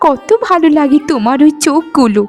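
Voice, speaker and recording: A woman playing a kind young forest maiden in a cartoon fairy tale, with a tender, expressive way of talking. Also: high voice, fairly quick, soft background music, lightly acted. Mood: tender, happy.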